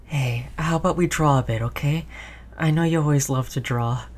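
A woman playing a gentle human who soothes their android companion in an intimate roleplay audio drama, tender and reassuring. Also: low-pitched, moderate pace, a little hiss or hum, lightly acted. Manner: soothing, tender, hushed, repeating reassurances softly.

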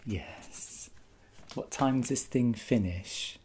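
A man in his thirties doing a soft-spoken ASMR roleplay as a rude, bitter actor, muttering in a world-weary, grumbling way. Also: mid-range voice, takes it slowly, breathy edge. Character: rude, bitter male actor signing autographs. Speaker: roleplay performer. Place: small indoor set at a desk.

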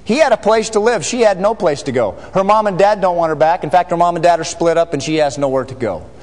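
A middle-aged American man, a missionary, telling stories to a church congregation in an animated, joking way. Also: high-pitched, fast talker. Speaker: missionary speaker. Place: large church sanctuary. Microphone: far camera. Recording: reverberant sanctuary.

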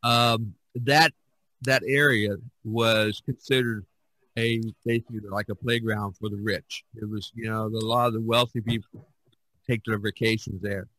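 A man hosting a podcast discussion, talking in an animated, emphatic way with many restarts. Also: lowish voice, a little slow, no room sound, rough recording. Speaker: podcast host. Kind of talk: casual discussion.

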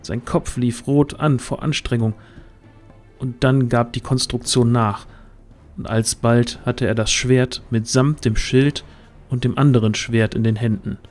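A man's voice: mid-range voice, fairly even intonation, a little slow, darkish tone. Male storyteller over background music. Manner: literary storytelling delivery. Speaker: narrator. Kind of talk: scripted audio drama narration.

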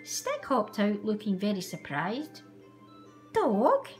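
A woman narrates a children's story in a highish, very animated voice. She reads aloud in storybook style, and music plays behind her. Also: normal speed. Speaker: storybook reader.

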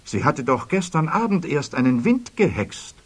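A man's voice: a little high, big pitch swings, moderate pace, breathy edge. A man narrating a children's fairy-tale audio play as a scripted storyteller. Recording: music behind, children's cassette production.

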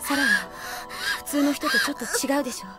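An actress playing an anxious, guarded, sharp-tongued young woman in an anime audio drama, speaking tersely. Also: sits high, little pitch movement, fast, loud music behind the voice, lightly acted.

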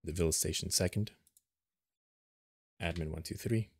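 Deep-voiced man giving a software tutorial. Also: normal speed, gravelly, breathy edge, dry recording. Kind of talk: unscripted step-by-step screen tutorial.

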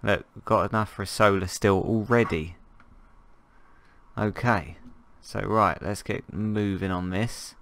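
Croaky low-voiced man with a cold. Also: fairly even intonation, a little slow, fairly bright tone. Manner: rambling, jokey, thinking aloud. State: hoarse from a cold. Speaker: gameplay commentator. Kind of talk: casual gameplay commentary.

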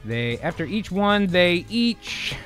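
A man's voice: high-pitched, sing-song, takes it slowly, fairly bright tone, faint music behind, rough recording. A man hosting and narrating a radio-play style show, mixing narration with casual banter. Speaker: host and narrator. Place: indoor home streaming room.

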